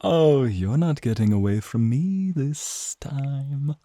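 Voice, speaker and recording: A man voicing a flirtatious, teasing male naga in an ASMR roleplay, speaking in a seductive, breathy, drawn-out way to the listener. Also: mid-range voice, expressive, dark tone, no echo, voice pushed up. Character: flirtatious, teasing male naga, crimson-eyed protective companion. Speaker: voice actor.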